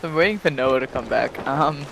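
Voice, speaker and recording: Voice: medium pitch, fairly quick, vocal fry, background noise. A person chatting casually on a game stream and sometimes reading a story aloud, over voice chat with game ambience.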